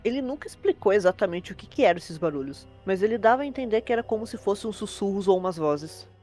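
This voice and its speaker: Female Brazilian storyteller, medium pitch, brisk and fluent, informal creepypasta narration. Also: lively intonation, dark tone, soft background music. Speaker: host and storyteller.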